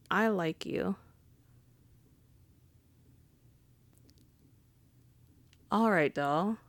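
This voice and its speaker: A woman's voice: mid-range voice, vocal fry, no echo. A woman playing a wry, charming female mafia boss in an intimate ASMR roleplay, conversational and teasing. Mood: amused, flirty. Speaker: audio roleplay voice actor.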